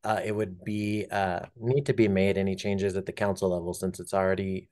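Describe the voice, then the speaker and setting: A man's voice: deep voice, flat delivery, a little fast, darkish tone. A man, a city staff member giving casual, helpful updates at a meeting. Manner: casual, helpful, loose phrasing with fillers. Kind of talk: meeting remarks.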